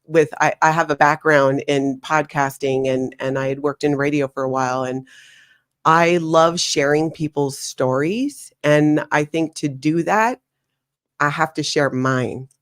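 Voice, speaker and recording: A woman in her forties from Hawaii, a guest telling her life story in an interview, animated and laughing often. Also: low voice, measured pace. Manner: animated, laughs often, slips into acted quotes.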